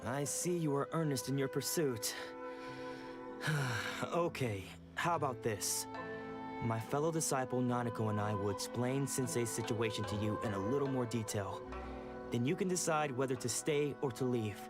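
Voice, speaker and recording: A young sword disciple from a video game, voiced by a man, earnest and guarded, with a formal, measured delivery. His mid-pitched voice sits over clear game music. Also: natural voice. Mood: explaining. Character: young male dojo disciple, protective and earnest.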